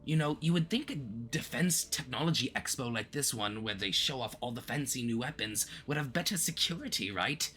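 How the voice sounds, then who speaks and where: A man's voice: medium pitch, animated, moderate pace, few pauses, fairly bright tone, slight background noise, natural voice. A man playing a smug but easily flustered, obsessive supervillain in a flirty, theatrical roleplay addressed to the listener, with awkward stammering slips. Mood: scornful, gloating.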